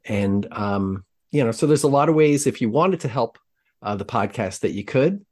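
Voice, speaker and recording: A man hosting a trail running podcast, talking casually in rambling, halting sentences full of ums. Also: medium pitch, expressive, darkish tone. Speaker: podcast host. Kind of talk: casual chat.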